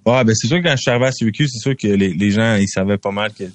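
A young man speaks with a Québécois French accent, and his voice sits fairly low. He answers in a relaxed way, with lots of fillers and restarts. The sound is dry and close. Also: racing, no echo. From Quebec. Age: young adult. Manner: relaxed, repeats words, many fillers. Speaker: football draft prospect guest. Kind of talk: casual interview answers.

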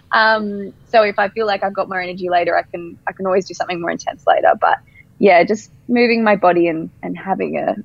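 A woman's voice: mid-range voice, a little fast, vocal fry, no echo, lo-fi sound. A woman, a company co-founder speaking casually as a podcast guest, with many ums. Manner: relaxed, many ums, laughs easily.

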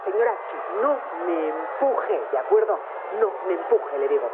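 Radio drama scientist: high-pitched, indignant, officious, very noisy phone sound. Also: male voice, big pitch swings, normal speed. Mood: irritated. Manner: indignant, formal. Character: irritated, officious male scientist in a crowd. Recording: very noisy tinny phone link.

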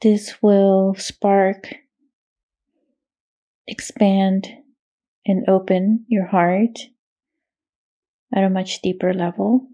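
A woman guides a meditation at a very unhurried pace, leaving long pauses. Her voice is creaky and soothing, with little rise and fall in pitch. Meditation music with a singing bowl plays behind her. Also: flat delivery, warm tone. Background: meditation music, singing bowl tones.

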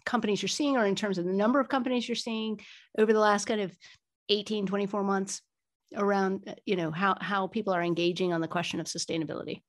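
A woman with a mid-pitched voice hosts a professional podcast. She introduces her guest and asks questions in a friendly way, often repeating words as she goes. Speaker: podcast host, law firm partner. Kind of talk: podcast interview hosting.

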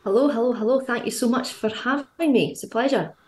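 A woman's voice: fairly high pitch, a little mellow. Scottish woman in an echoey room. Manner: chatty, quick, frequent fillers and laughs. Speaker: guest, fitness coach. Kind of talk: casual podcast interview.

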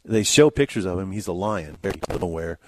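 A man co-hosting a casual radio film review, telling things in a folksy, halting way. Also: deep voice, lively intonation, darkish tone, slight background noise. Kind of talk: casual radio movie chat. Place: dry radio studio.

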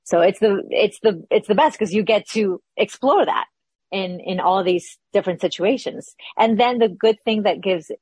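A woman's voice: mid-range voice, moderate pace, darkish tone, breathy edge, dry recording. A woman actress from Peru, a guest in a casual interview, talking thoughtfully and searching for words with frequent restarts.

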